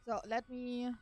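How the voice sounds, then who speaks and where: A woman's voice: on the high side, darkish tone. A young woman with a German accent, casually explaining a game as she streams, chatty with many fillers. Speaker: gaming streamer. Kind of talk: casual gameplay commentary. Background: faint game music.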